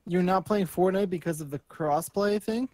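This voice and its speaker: A man with a somewhat higher-than-average voice, recorded very dry. He co-hosts a podcast, switching between reading news aloud and loose, joking chat. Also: moderate pace, a little mellow, dry recording. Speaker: podcast co-host.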